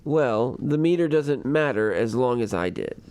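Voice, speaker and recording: A man acting an urbane, devoted, gently pedantic husband with a wordy, courtly way of talking in a radio comedy. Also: medium pitch, animated, unhurried, smooth. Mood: devoted, wry.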